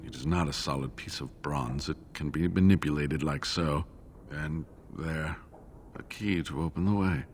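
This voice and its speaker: An actor playing a weary, earnest scholar in a fantasy video game, speaking in a measured, formal, rueful way. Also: male voice, extremely low pitch, slight background noise.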